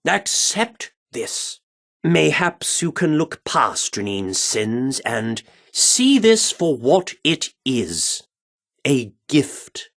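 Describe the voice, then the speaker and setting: A man's voice: a little high, fairly bright tone, heavily acted. A man voicing an earnest, flamboyant, Shakespeare-obsessed theatre director in a video game, with grandiloquent, archaic, theatrical phrasing. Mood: solemn, grateful. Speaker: voice actor as game character.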